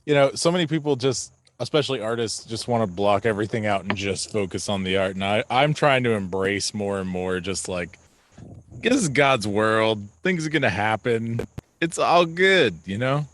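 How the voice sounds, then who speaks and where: A man's voice: on the low side, expressive, moderate pace, fairly bright tone, slight background noise. A man with an easygoing, rambling way of talking, chatting casually as he hosts an interview over a video call. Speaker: podcast host.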